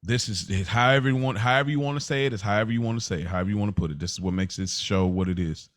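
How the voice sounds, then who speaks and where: A man's voice: lowish voice, racing, no room sound, clean studio sound. A man hosting a casual podcast conversation, talking emphatically and repeating phrases to build his point. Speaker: podcast host.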